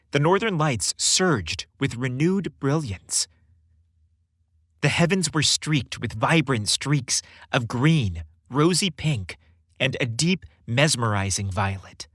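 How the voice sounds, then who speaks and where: A man's voice: medium pitch, fairly bright tone, no echo, studio quality. A man narrating a scripted story as a podcast host, with a theatrical, florid delivery.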